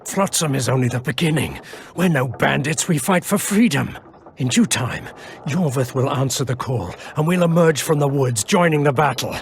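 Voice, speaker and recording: An actor playing a badly wounded, proud and bitter elf freedom fighter in a video game, weak with pain, halting, breathy and often near a whisper. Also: male voice, mid-range voice, lively intonation, a little hiss or hum. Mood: fervent. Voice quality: gravelly, breathy, falling into whispers. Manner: halting, defiant. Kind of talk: video game cutscene acting.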